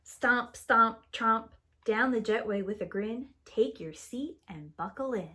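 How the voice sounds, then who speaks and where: A woman's voice: fairly high pitch, animated, takes it slowly, bright, forceful tone. A woman reading a children's story aloud expressively, with a rhythmic delivery and acted-out sound words. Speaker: preschool teacher reading a picture book aloud.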